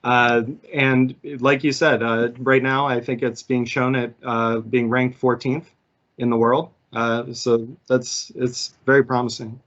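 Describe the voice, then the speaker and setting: A man from the United States moderates the session and hands questions to the team. He speaks haltingly, with many uhs. His voice sounds muffled, like lo-fi call audio. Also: mid-range voice, little pitch movement, fairly bright tone. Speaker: AMA moderator. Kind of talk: casual moderating.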